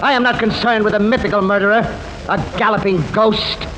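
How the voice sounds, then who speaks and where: An actor playing a scornful, theatrical prosecutor who declaims with sarcastic rhetoric in old-time radio style. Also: male voice, sits high, steady tone, fairly quick, over music, lightly acted. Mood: scornful, triumphant. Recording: noisy old radio recording.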